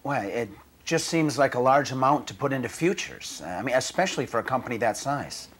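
A man's voice: medium pitch, lively intonation, brisk, fairly bright tone, a little hiss or hum, close to an everyday voice. An actor in his forties playing an earnest, doubtful auditor, tactful and hesitant, in a corporate training-film drama. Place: indoor office.

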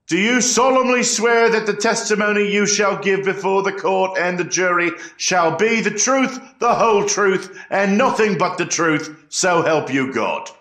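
A man's voice: high-pitched, measured pace, few pauses, fairly bright tone. A man acting a formal colonial court clerk, speaking ceremonially in a historical trial drama. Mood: solemn, formal. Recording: roomy courtroom-like echo.

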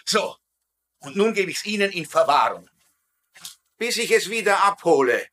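High-pitched, imperious German general. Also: male voice, sing-song, normal speed, a bit bright. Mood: stern, threatening. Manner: curt, commanding. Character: gruff, threatening German general, pompous and impatient. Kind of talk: dubbed military sitcom acting.

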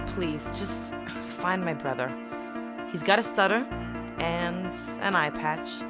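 A woman's voice: lowish voice, slow, music in the background. A woman playing a worried, earnest client of a private detective in a scripted noir comedy. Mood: pleading.

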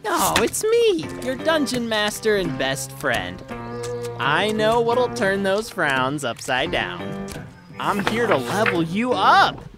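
A man playing a chummy dungeon master who levels up his players with cheer. He talks slowly, and his pitch bounces lively. Music plays clearly behind him. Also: heavily acted. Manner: chummy, announcing.

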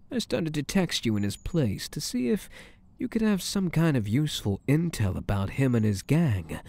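Man acting a mellow, possessive mafia boss. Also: mid-range voice, gravelly. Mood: confiding. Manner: slow, teasing, commanding. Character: smug, possessive male mafia boss, flirtatious and menacing. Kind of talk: intimate ASMR roleplay acting.

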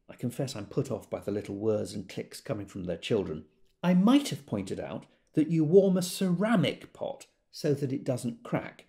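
Rueful fussy everyman telling his story, creaky voice, really animated pitch, audio drama monologue. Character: series of rueful, self-doubting everyday monologue narrators.

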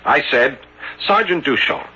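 A man's voice: on the high side, lively intonation, unhurried, sharp tone, husky, full character voice. A man acting an earnest, jealous young French policeman in an emphatic old-time radio drama style. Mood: earnest, indignant. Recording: dull vintage radio drama recording.

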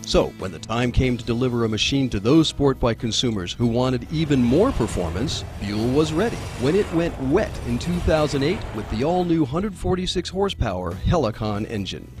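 A man's voice: mid-range voice, animated, normal speed, hardly any pauses. A man reading scripted promotional narration for a company training film. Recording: music playing behind the voice. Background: background music.

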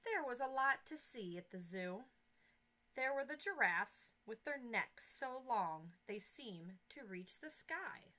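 A woman in her thirties reads a picture book aloud to young children, with expressive, deliberate phrasing, on a headset mic. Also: a little high. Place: small indoor classroom-style corner. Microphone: headset boom mic.

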